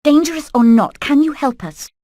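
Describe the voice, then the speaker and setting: Plucky high-voiced British hen. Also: female voice, animated, warm tone, no room sound, studio quality. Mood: firm, businesslike. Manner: brisk, earnest, rallying. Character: determined, plucky female hen leading an escape plan. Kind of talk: animated video game voice acting.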